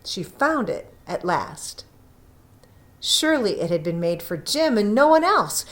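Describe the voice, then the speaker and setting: A woman reading a story aloud as scripted narration, with dramatic emphasis and drawn-out phrases. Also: medium pitch, sing-song. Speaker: audiobook reader.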